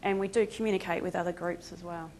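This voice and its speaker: A woman's voice: on the low side, steady tone, fast talker, darkish tone, slight background noise. Aussie woman who sounds kiwi now, chatty conference talk, lots of ums. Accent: New Zealand-tinged Australian. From Australia. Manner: chatty, self-deprecating, frequent ums. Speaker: conference speaker, veterinary emergency team member. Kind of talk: conference presentation.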